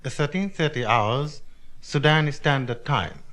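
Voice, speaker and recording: A man's voice: fairly high pitch, a little slow, a bit bright. A man announcing on the radio with a hesitant delivery, giving a time check and station identification on a restored 1960s shortwave recording.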